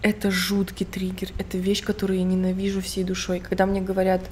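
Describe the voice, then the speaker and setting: A young woman from the Urals co-hosting a casual podcast, animated and loud at times, dropping English phrases into her talk. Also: mid-range voice, fairly quick, a little hiss or hum. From Russia (Urals). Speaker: podcast co-host. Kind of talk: casual chat.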